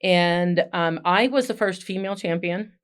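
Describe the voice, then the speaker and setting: A woman in her fifties who is a podcast guest. She speaks loudly and outspokenly and tells her career story in long runs. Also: lowish voice, normal speed, clear, resonant tone. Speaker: guest, software engineering leader. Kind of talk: casual podcast interview.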